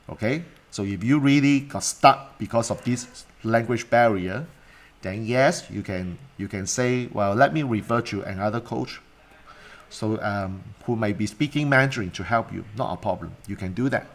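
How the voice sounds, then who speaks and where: Man giving a coaching talk. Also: mid-range voice. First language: Cantonese. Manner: repetitive, rhetorical questions to viewers. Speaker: life coach. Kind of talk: solo livestream talk.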